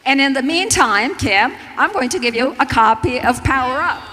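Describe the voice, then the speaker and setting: Female stage moderator from Turkey, higher bright voice, live event hall with long reverb. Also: lively intonation, normal speed. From Istanbul, Turkey. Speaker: moderator of a conference panel. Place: large conference hall stage. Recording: echoey large hall, background noise.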